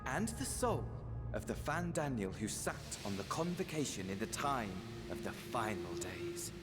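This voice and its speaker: A man playing a flippant, theatrical sorcerer villain in a game cutscene, drawling with affected pauses. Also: mid-range voice, sing-song, over loud music. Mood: airy, dismissive. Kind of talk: dramatic video game cutscene acting.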